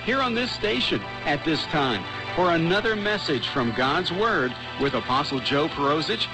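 A man reading scripted announcements for a religious radio station in a formal, measured way. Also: fairly high pitch, lively intonation, rough recording. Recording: shortwave radio with music behind. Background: music bed.

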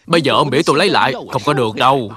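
A man voicing a wealthy middle-aged gentleman in a cartoon, greedy and evasive, blustery and ingratiating. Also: medium pitch, very fast, lightly acted. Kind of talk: Vietnamese-dubbed moral cartoon.

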